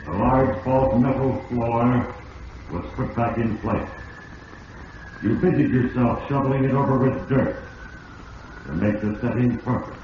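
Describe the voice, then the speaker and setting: An actor playing an all-knowing narrator of fate in an old radio drama, grave, deliberate and accusing. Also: male voice, medium pitch, lightly acted. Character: ominous all-knowing narrator addressing the wrongdoer. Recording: muffled, distant, echoing, noisy vintage radio recording.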